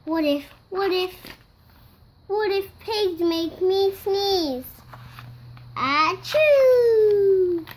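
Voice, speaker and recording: Slow, high-voiced five-year-old reading aloud. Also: animated, slight background noise. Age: child, five years old. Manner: slow, halting word-by-word reading with acted-out sneezes. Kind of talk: reading a picture book aloud.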